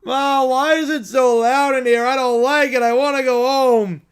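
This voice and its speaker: A man voicing a whiny, frightened small child in a slow, plaintive cartoon parody voice. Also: very high voice, steady tone, smooth. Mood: whiny, upset.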